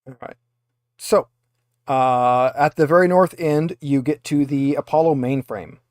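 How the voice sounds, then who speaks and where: A man with a slightly high voice acts as game master for a tabletop roleplaying game. His delivery is halting, as if thinking aloud, and the sound is dry, with very little room to it. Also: no echo.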